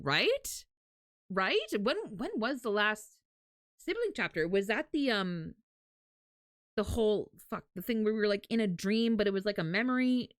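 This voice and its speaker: A woman livestreamer with a lively, swinging voice talks casually to her viewers. The sound is dry and close, and her way of talking is loose and informal. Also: mid-range voice, dry recording. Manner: informal, mild swearing. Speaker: livestreamer reacting to a game announcement. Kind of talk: casual live reaction chat with viewers.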